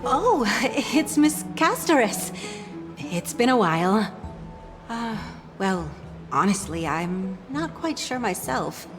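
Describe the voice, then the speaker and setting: An actress playing a chatty, shrewd but obliging market stall merchant in a video game, with a conversational, hesitant way of talking. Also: female voice, fairly high pitch, sing-song, slow pace. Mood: chatty, puzzled. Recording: long reverb, music behind.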